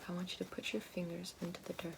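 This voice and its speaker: A woman speaking softly as an ASMR host guiding the listener, slow and hushed, often slipping into a whisper. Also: lowish voice, monotone, gravelly, background noise. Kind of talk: soft-spoken ASMR roleplay, guiding a grounding exercise.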